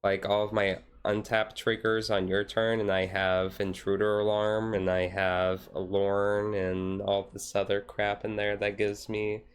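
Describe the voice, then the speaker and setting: A man streamer chatting casually in a relaxed, rambling way on a chill hobby stream. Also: fairly low pitch, a little slow, hardly any pauses, clear, resonant tone.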